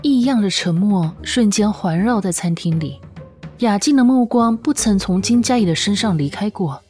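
一位女性有声小说旁白，照着剧本富有表情地讲述故事。. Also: female voice, medium pitch, animated, moderate pace, warm tone. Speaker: audiobook narrator. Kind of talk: expressive scripted story narration. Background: background music.

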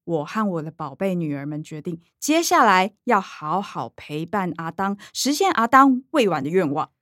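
A woman's voice: on the low side, sing-song, normal speed, fairly bright tone, dry recording, studio quality. An actress playing a showy middle-aged Taiwanese mother who gushes in a sugary, self-dramatizing way for her livestream, in a radio drama. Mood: earnest, performed. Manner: gushing, performs for the camera.

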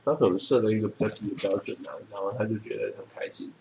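A man with a Taiwanese Mandarin accent, a podcast co-host, reads aloud and comments casually in an easygoing, measured way. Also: on the low side, steady tone, gravelly, noisy background.

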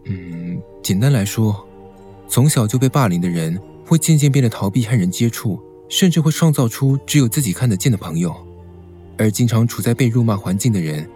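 An actor playing a calm, reserved, knowledgeable young man with a measured manner in a Mandarin audio romance drama. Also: medium pitch, natural voice.

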